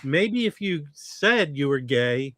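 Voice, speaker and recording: A man's voice: fairly high pitch, sing-song, slow, a bit bright. A man in a casual comedic chat, ribbing his friends with crude jokes.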